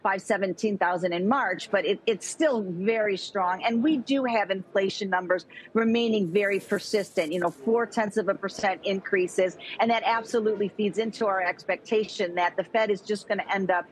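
A middle-aged woman economist speaking as a guest in a live TV interview over a remote video link, talking quickly with frequent restarts and repeated words. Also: mid-range voice, a little hiss or hum, poor audio. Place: home room with plant.

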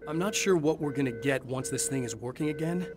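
A man's voice: medium pitch, fairly quick, noisy background, close to an everyday voice. An actor playing a wary, determined man in his thirties in a video game, with a dry, questioning manner. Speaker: game protagonist. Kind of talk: video game character dialogue. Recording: music behind game dialogue.

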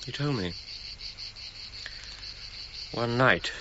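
An actor playing a stern, imperious gentleman archaeologist in a radio drama, clipped and demanding in manner. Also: medium pitch, lively intonation, very slow, highs cut off, background noise. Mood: restrained disapproval. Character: Sir Arthur Evans, stern, imperious English gentleman archaeologist.

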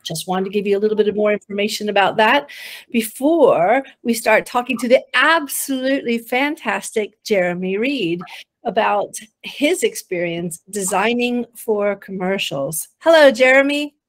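A woman's voice: mid-range voice, expressive, normal speed. A woman in her fifties hosting an interview over a video call from an indoor room. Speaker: host, guild chairperson. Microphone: computer mic on a video call.

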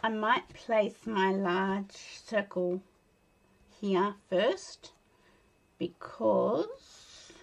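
An Australian woman with a tired, croaky voice chatting casually and laughing as she demonstrates card making at her craft desk. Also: mid-range voice, expressive, extremely slow, long pauses. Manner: chatty, laughs often, mutters to herself while working. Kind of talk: casual crafting tutorial chat. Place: indoor craft desk, overhead camera.

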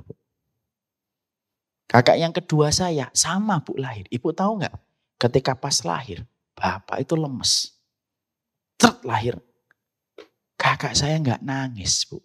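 A middle-aged man preaches with a Javanese accent at a medium pitch. He mixes religious teaching with storytelling in a conversational manner. He often turns to address his listeners directly. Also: breathy edge. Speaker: Islamic preacher. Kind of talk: sermon with personal storytelling.

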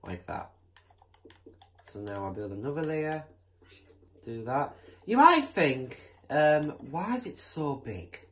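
A male host chatting casually while building in a game, rambling with lots of fillers and restarts, sometimes bursting into excited exclamations. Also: on the high side, slow pace, pauses often. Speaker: gameplay channel host.

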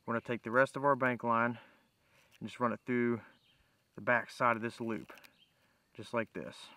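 Bright-voiced man giving a casual tutorial. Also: mid-range voice, steady tone, moderate pace, no room sound. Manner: plain-spoken, informal, explanatory. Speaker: bushcraft demonstrator, host. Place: outdoors in woodland.

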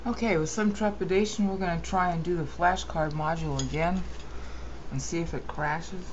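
A woman with a low, dark voice talks through a screen-recorded software tutorial in a rambling, opinionated way, stopping to correct herself as she goes. The sound is muffled and lo-fi, with a faint hiss underneath. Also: moderate pace.

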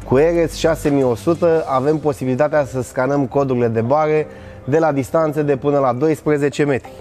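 A man's voice: fairly high pitch, steady tone, darkish tone, faint music behind. A man in his thirties presenting and demonstrating a product in a large warehouse, upbeat and scripted. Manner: upbeat, demonstrating while walking and working.

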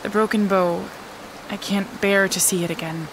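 A woman's voice: medium pitch, steady tone, moderate pace, background noise, close to an everyday voice. A woman playing a pleading, heartbroken young musician of the early 1900s in an audio drama. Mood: wounded, pleading. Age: young adult.

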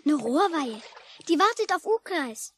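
An actress playing an eager, chirpy child who loves birds, in a 1950s German radio play. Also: female voice, high-pitched, very animated, unhurried, fairly bright tone, exaggerated voice. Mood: excited. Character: eager, bird-loving child of lakeside angler. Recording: faintly noisy old radio recording.

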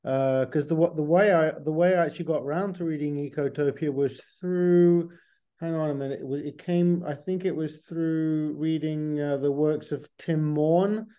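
A man with a mellow, slightly high voice talks casually as a co-interviewer on a video call. He is discursive and halting, often starting a thought again. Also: steady tone, clear, resonant tone, muffled recording. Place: indoors, book-lined room at home, on a video call.

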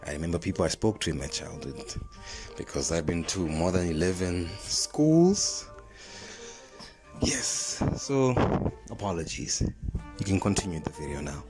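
A man's voice: a bass voice, a little mellow, poor audio. A young man from Zimbabwe with a Zimbabwean accent, chatting casually to camera in an informal storytelling way, like a vlogger speaking close into a phone. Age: young adult. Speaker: vlogger, drift fan page host. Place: small plain indoor room. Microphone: phone held close to the mouth. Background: background music.

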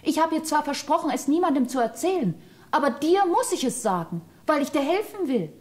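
An actress playing an affectionate, earnest little girl in a fairy-tale radio play, with a husky, childlike voice. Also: high-pitched, very animated, fairly quick. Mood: earnest, worried.

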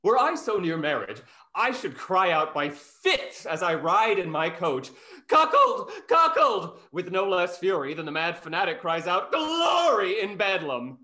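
A young, witty gentleman of a Restoration comedy, acted by a man. His voice sits a little high. He speaks in ornate, mock-earnest lines with a snarky edge. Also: big pitch swings, a little slow, exaggerated voice. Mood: mock fervour. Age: young adult. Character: witty, snarky gentleman friend of a London rake. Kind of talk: stage acting in a Restoration comedy over video call. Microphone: video call.

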